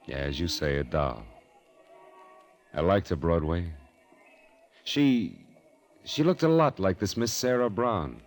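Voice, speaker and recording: A high-rolling gambler from an old radio drama. His voice is deep yet moves in lively swings, terse and gruff with a wistful, lovestruck hesitancy underneath. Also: takes it slowly, pauses often, faint music behind, full character voice.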